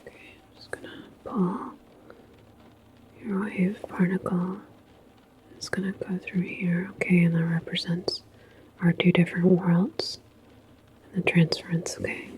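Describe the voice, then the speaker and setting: A woman whispering softly in an ASMR sci-fi roleplay as a calm, musing, reassuring station attendant. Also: lowish voice, unhurried, pauses often, background noise. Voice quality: soft, breathy whisper. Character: calm, philosophical attendant at a futuristic portal station.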